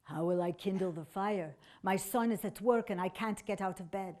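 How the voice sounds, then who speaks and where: A woman's voice: medium pitch, normal speed, darkish tone, lightly acted. A woman voicing a poor, sick old widow in a told folk tale, wary and plaintive, asking helpless questions.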